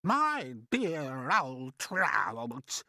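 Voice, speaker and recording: A storyteller voicing a vain, pompous, bossy aunt who declaims grandly in drawn-out words. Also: mid-range voice, sing-song, bright, forceful tone, no echo, studio quality, voice pushed up, exaggerated voice. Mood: smug, gushing.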